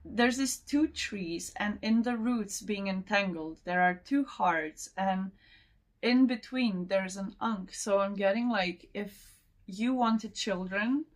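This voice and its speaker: Slow, meandering woman reading oracle cards. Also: mid-range voice. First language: not English. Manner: slow, meandering, laughs often between thoughts. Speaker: tarot and oracle card reader. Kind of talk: casual spiritual reading to camera.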